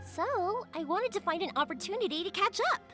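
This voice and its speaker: High, lively, bubbly young fireworks maker for a game. Chatty and eager to please, over clear game music. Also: female voice, a little fast. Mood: cheerful. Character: cheerful young woman fireworks maker, warm and talkative.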